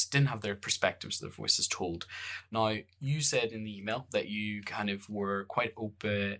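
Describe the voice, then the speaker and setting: A man's voice: lowish voice, pushed and bright. A Northern Irish man hosting a podcast, chatting casually in a rambling, jokey way with lots of fillers. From Northern Ireland. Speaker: podcast host. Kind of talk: casual conversational interview.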